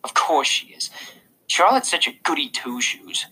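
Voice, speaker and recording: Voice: medium pitch, big pitch swings, measured pace, sharp tone, breathy edge, heavily acted, poor audio. An audiobook reader voicing a defensive, exasperated schoolboy arguing his case in casual, youthful speech. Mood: exasperated, insistent. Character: defensive, exasperated schoolboy, older brother, talking to mother. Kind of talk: first-person audiobook character.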